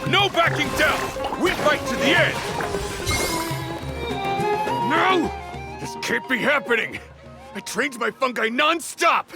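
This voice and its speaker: Haughty young beast tamer, scornful, theatrical, very lively pitch, video game villain, background music. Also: male voice, unhurried, vocal fry, full character voice. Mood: desperate.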